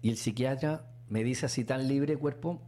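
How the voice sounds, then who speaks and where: A middle-aged man with a Chilean accent, a radio host chatting casually and telling a personal story. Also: normal speed. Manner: storytelling, acts out quotes with animated pitch jumps.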